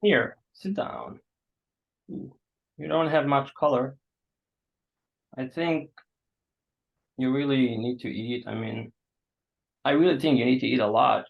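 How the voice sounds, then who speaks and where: Actor as solicitous awkward man, creaky voice, hesitant coaxing delivery, stage play, dull muffled sound. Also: mid-range voice, slow, long pauses. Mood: concerned, coaxing. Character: gentle, awkward, solicitous man caring for a guest.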